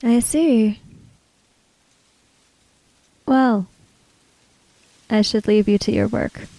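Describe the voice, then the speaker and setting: Woman playing a slow, formal female samurai. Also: on the high side, dark tone, no echo, rough recording. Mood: gentle, solemn. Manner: measured, formal, reserved. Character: calm, courteous female samurai questioning a ghost.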